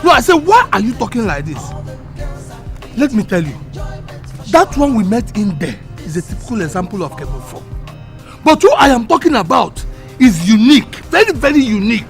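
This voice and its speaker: A man's voice: very high-pitched, sing-song, a little fast, faint music behind, exaggerated voice. An actor playing a touchy, scornful man bickering in a comedic drama, with a Nigerian accent. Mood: defensive. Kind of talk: comedic film drama acting.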